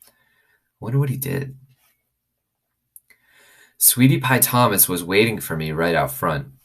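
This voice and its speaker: A man reading a children's novel aloud as an expressive storyteller. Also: low voice, measured pace.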